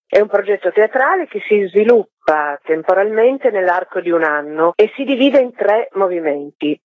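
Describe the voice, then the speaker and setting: A woman interviewed on the radio as a theatre practitioner, explaining in a measured, orderly way. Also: medium pitch, expressive, no echo. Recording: tinny phone line on radio.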